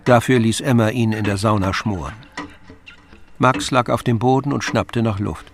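Creaky-voiced male narrator over music. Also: fairly low pitch, normal speed. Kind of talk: scripted literary narration. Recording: music under the voice.

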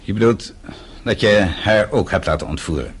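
An actor playing a weary, defiant older father in a radio play, husky, curt and restrained. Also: lowish voice, moderate pace. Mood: weary defiance.

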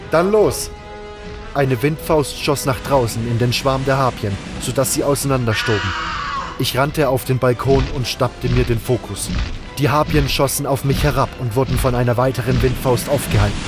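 A man narrating a scripted fantasy audio drama in a dramatic storyteller's style. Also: medium pitch. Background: music.

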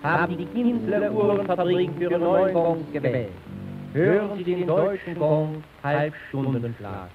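A man's voice: fairly high pitch, expressive, unhurried. A man announcing in the formal style of 1930s German radio, on an old archive recording. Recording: tinny distant archive radio, loud music.

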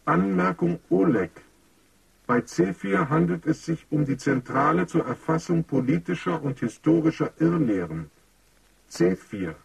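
A man's voice: on the high side, animated, unhurried, gravelly, husky, no room sound, far from the mic, exaggerated voice, rough recording. An actor playing a formal male computer assistant in a radio drama, reading out announcements in an impersonal, clipped, officious manner.

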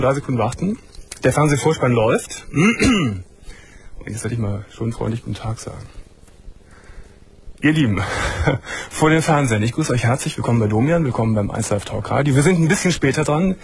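A man hosting a late-night call-in show from a studio, asking calm, probing questions and giving short replies as he listens. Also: mid-range voice, fairly quick, husky. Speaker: radio and TV talk show host.

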